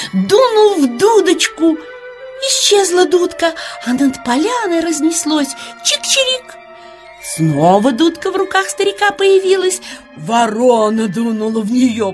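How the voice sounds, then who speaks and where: A woman's voice: sits high, big pitch swings, measured pace, soft background music. A woman voicing a kindly auntie squirrel who tells tales with rapturous expression in a children's audio play. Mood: animated storytelling.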